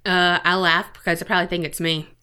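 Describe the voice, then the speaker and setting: A woman's voice: on the low side, flat delivery, quick pace, pushed and bright, clean tone. A woman co-hosting a podcast in casual, profane banter, blunt and self-deprecating. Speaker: podcast co-host.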